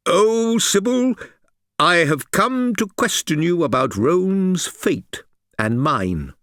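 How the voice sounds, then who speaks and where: A man playing a nervous Roman nobleman who stammers haltingly as he pleads, in an audiobook. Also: sits high, very animated, fairly bright tone, no echo, clean studio sound, pitched above the performer's own voice, full character voice. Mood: nervous, pleading. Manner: halting, stammering, tripping over words.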